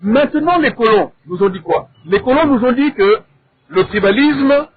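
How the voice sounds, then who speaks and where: A man with a Congolese accent debating in a measured, didactic way, in short deliberate phrases and with rhetorical questions. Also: very high-pitched, animated, a little hiss or hum. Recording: thin, phone-like narrow sound.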